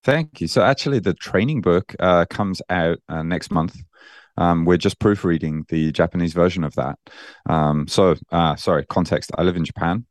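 Very deep-voiced Birmingham man. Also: normal speed, no room sound, studio quality. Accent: Birmingham English. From England. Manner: thoughtful, many fillers and restarts. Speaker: guest, nutrition and training coach. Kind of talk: casual podcast interview.

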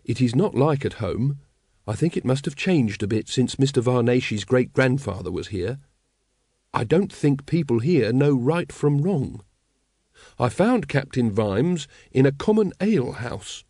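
A man's voice: medium pitch, animated, unhurried, dark tone, husky, dry recording, clean studio sound. A man voicing a naive, earnest young man reading out his letter home, literal-minded, in an audiobook.

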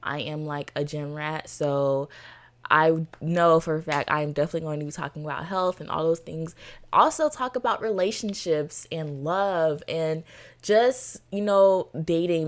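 19 yr old girl from florida doing a solo podcast, excited and rambly, kinda low voice. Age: teens. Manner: enthusiastic, chatty, many fillers like "you know". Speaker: podcast host.

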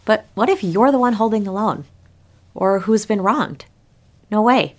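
A woman preaches in a conversational, prepared style, with a medium-pitched voice. She talks straight to her listeners as a pastor would, putting rhetorical questions to them as she explains. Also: a little slow, a little mellow. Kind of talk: sermon, prepared but conversational delivery.

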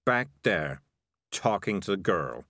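A man's voice: lowish voice, sing-song, measured pace, bright, forceful tone, no room sound, clean studio sound, full character voice. A man voicing a self-important, sulky male talking animal, pedantic and defensive, in an audiobook story.

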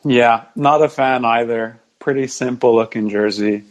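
A man's voice: mid-range voice, unhurried. A man chatting casually as a guest on a sports podcast, understated, agreeable and a little hesitant.

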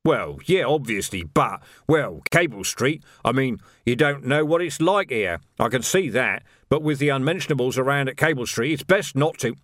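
A man voicing a sly, resentful sergeant in an audiobook, grumbling in rough common speech. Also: medium pitch, lively intonation, normal speed, hardly any pauses, a bit bright, no room sound, studio quality, voice pushed up, natural voice. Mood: defensive. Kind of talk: audiobook character voice.

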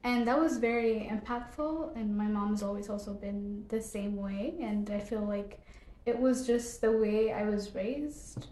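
A woman's voice: medium pitch, measured pace, warm tone, roomy sound, slight background noise, poor audio. A young woman from Ethiopia, a podcast guest, answering casually with many fillers and short laughs. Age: young adult.

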